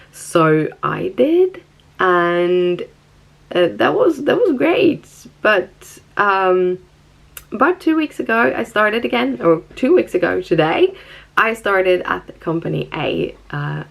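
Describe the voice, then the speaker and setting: A woman's voice: lowish voice, vocal fry. A woman with a Swedish accent, talking casually and chattily to viewers as a vlogger. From Stockholm, Sweden. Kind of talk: casual personal vlog talk.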